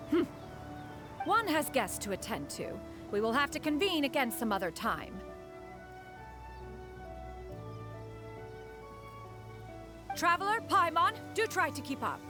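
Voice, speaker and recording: A woman's voice: high-pitched, sing-song, normal speed, music in the background. A woman playing a proud, aloof female immortal in a fantasy game, speaking in a haughty, formal, old-fashioned way. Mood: aloof, brisk. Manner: haughty, formal, archaic phrasing. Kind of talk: fantasy game cutscene acting.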